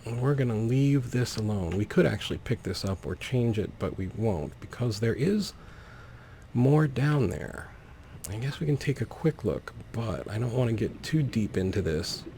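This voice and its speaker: A man's voice: medium pitch, expressive, normal speed, dark tone, a little hiss or hum. A man giving let's play commentary on a video game, often narrating his own actions in short phrases. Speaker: gaming host. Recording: faint game ambience behind voice. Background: video game ambience.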